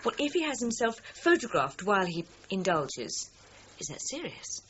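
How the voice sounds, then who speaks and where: An actress playing a determined newspaper reporter in a radio crime drama, pressing her point with deliberate, leading questions. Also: medium pitch, big pitch swings, a bit bright. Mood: insistent. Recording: dull, hissy radio drama recording.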